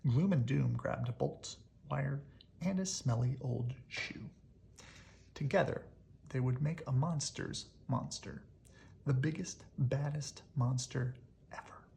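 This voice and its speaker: Slow, creaky children's storybook reader. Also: mid-range voice, dark tone, slight background noise. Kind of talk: expressive read-aloud narration for children.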